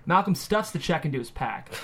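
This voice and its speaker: A man's voice: a little high, lively intonation, fairly quick, a bit bright. A man reading scripted stage directions as the narrator of a screenplay table read.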